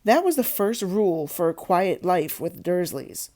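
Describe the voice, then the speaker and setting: Mellow, low-voiced woman reading a story. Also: big pitch swings, unhurried. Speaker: audiobook reader. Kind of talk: read-aloud storytelling narration.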